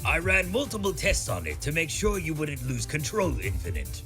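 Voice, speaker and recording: A man's voice: fairly high pitch, huge pitch swings, normal speed, pushed and bright, soft background music, heavily acted. A man voicing a pompous, scheming mad scientist villain in a fan comic dub, giving orders in a theatrical, emphatic way. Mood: proud, probing.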